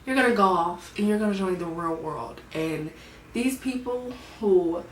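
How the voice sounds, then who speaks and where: A woman, vlogging about her own life in a soft, hesitant way, often searching for words. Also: medium pitch, moderate pace, a little hiss or hum. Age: 20s. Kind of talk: personal confessional vlog. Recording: echoey room, mic at a distance.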